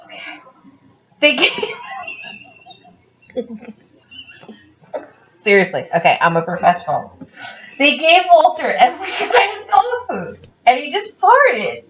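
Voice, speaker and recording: A woman reading a story aloud informally, with chatty asides and an animated, giggly manner. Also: sits high, takes it slowly, pauses often, lo-fi sound. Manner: animated, giggly, easily cracked up.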